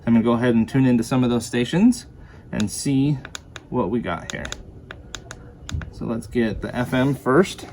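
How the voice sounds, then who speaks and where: A man's voice: medium pitch, moderate pace, pauses often, a little mellow. A man with a mid-range voice gives a casual hands-on product demonstration. He explains things plainly, step by step, as a reviewer would. There is some noise behind his voice. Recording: clear background noise.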